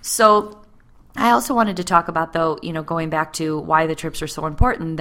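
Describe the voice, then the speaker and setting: A woman's voice: sits low, pushed and bright. A woman in her late thirties co-hosting a podcast, chatting casually with frequent fillers. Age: 30s. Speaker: podcast co-host. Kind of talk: casual conversation.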